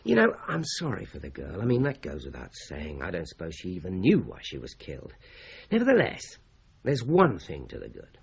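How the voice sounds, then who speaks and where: Husky, animated British police inspector. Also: male voice, low-pitched, exaggerated voice. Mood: thoughtful, dogged. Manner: brisk, authoritative, ruminative. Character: shrewd, methodical male British police inspector. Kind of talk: radio drama acting. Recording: slightly dull radio drama recording.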